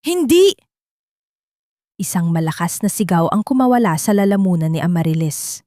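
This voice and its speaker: A woman's voice: lowish voice, fast talker, warm tone, dry recording, studio quality. A woman narrator reading a scripted audio drama in a dramatic storytelling style.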